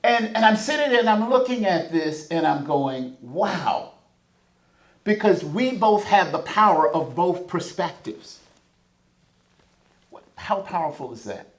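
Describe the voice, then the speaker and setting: A man's voice: sits high, big pitch swings, a little slow. A man from the American South, speaking as a panelist with emphatic, preacher-like build-ups. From Southern United States. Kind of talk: panel discussion. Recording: echoey conference room.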